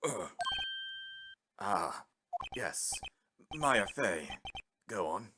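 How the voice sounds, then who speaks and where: A man voicing a pompous, blustering older lawyer in a video game fan dub, theatrical and evasive, with hums and throat-clearing. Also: lowish voice, sing-song, extremely slow, background noise, full character voice. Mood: flustered, evasive.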